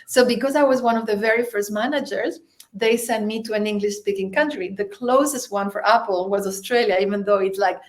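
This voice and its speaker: A woman's voice: mid-range voice, a little fast. A woman born in Spain, Spanish her first language, chatting as a podcast guest, laughing often and restarting her sentences. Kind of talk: casual interview chat.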